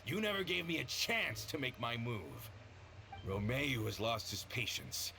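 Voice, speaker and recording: Villain role: spiteful syndicate traitor, forceful edge, wide swings of pitch, theatrical video game delivery. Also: male voice, sing-song, unhurried, gravelly, slight background noise, heavily acted. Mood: bitter hatred. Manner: theatrical, scornful. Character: bitter, spiteful male traitor in a criminal syndicate.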